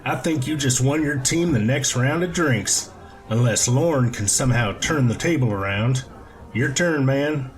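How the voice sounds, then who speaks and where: A man acts out a happy extrovert from a story, chatting with strangers at a bar. The manner is chummy and joking, at an ordinary male pitch. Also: expressive, measured pace, faint music behind, lightly acted, poor audio. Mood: jovial.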